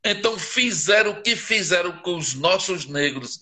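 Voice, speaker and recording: Impassioned Northeastern Brazilian man, high voice. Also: expressive, normal speed, bright, forceful tone. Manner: impassioned, emphatic, rhetorical questions. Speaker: guest writer. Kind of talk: live interview over a video stream.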